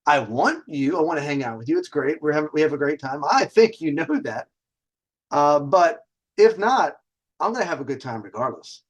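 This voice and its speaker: A man hosting a casual storytelling session with dating advice, talking in a cocky, conversational way and swearing freely. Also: on the high side. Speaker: host telling personal stories and dating advice.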